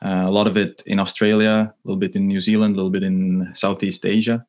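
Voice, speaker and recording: A young man from Estonia, a native Estonian speaker, talking in a casual interview over a remote call, thoughtful and halting with many restarts and fillers. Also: sits low, little pitch movement, a little mellow. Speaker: guest, writer and musician.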